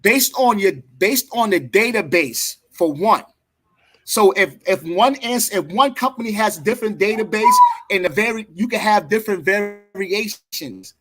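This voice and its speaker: Guy with a high voice, keeps saying hold on, explaining his side in a heated argument. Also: lively intonation, measured pace, fairly bright tone. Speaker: debater. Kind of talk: heated debate.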